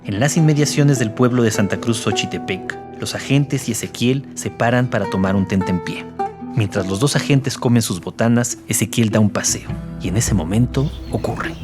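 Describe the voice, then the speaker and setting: A man's voice: mid-range voice, moderate pace, over music. A man giving scripted narration for a documentary drama as co-narrator.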